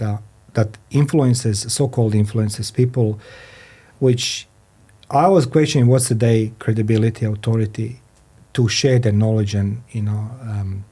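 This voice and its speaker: A man who is a non-native English speaker hosts a podcast interview, speaking haltingly and often repeating his phrases. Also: mid-range voice, warm tone, husky. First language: not English. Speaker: podcast host. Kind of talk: podcast interview, introducing and questioning a guest. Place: studio.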